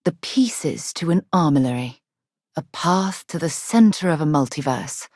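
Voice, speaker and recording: Earnest, low-voiced woman space explorer. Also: expressive, unhurried, darkish tone, dry recording, clean studio sound. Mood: curious, observant. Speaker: video game companion character. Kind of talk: scripted video game voice acting.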